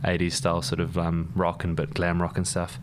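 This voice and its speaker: A man's voice: deep voice, completely flat delivery, brisk, noisy background. A young man with a New Zealand accent, a podcast guest chatting in a laid-back, rambling way with many ums and easy laughs. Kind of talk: casual conversation.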